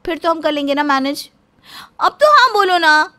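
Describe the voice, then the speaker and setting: A woman's voice: very high-pitched, sing-song, normal speed, bright, forceful tone, voice pushed up, full character voice. A woman voicing a petulant, boastful young housewife in a cartoon story, whiny, dramatic and insistent. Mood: pleading.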